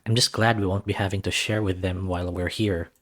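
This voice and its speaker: A man's voice: deep voice, fairly even intonation, fairly quick, a bit bright. A man reading a visual novel aloud as a first-person narrator. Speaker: let's-play reader.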